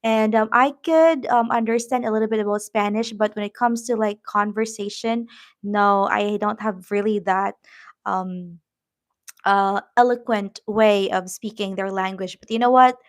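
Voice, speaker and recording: Slow-talking Filipino woman on a livestream. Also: medium pitch, fairly even intonation. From Philippines. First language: Tagalog. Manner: warm, encouraging, reads viewer comments, many "um" fillers. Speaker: YouTube livestream host. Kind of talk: casual live-stream chat.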